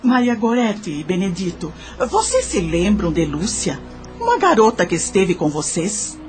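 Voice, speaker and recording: Woman playing an animated questioner of spirits. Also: medium pitch, moderate pace, faint music behind. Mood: inquiring. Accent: Brazilian. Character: probing questioner addressing confessing spirits. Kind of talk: Portuguese-language audio drama acting.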